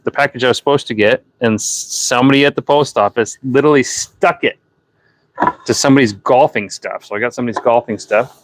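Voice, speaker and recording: A man's voice: mid-range voice, brisk, poor audio. Chatty New Hampshire man packing orders. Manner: rambling, chatty, self-correcting. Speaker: livestream host. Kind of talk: casual livestream chat while packing orders.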